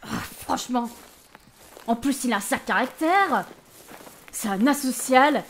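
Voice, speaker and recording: A woman playing a talkative, expressive young potion student adventurer in an amateur fantasy audio drama, speaking informally. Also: high-pitched, unhurried, slight background noise. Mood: mock outrage.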